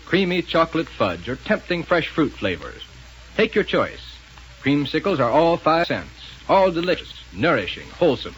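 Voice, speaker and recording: A man announcing a 1930s radio serial and pitching its sponsor in scripted style. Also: sing-song, normal speed. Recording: faintly noisy 1930s radio recording.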